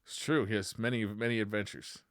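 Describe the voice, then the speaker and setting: A man's voice: fairly low pitch, steady tone, fairly quick, clean tone, dry recording, clean studio sound. A man co-hosting a casual gaming chat podcast with wry, joking banter. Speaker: podcast co-host.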